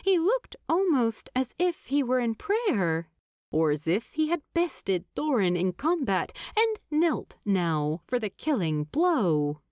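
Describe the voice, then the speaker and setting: A woman narrating a story from a script in an expressive, deliberate, dramatic way. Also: on the high side, no room sound, studio quality. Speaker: fan fiction narrator.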